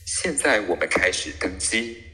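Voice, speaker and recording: A man's voice: sits low, sing-song, a little slow, sharp tone, long reverb tail, soft background music, full character voice. A man playing a formal airport boarding announcer in an audio comedy. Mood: formal, measured.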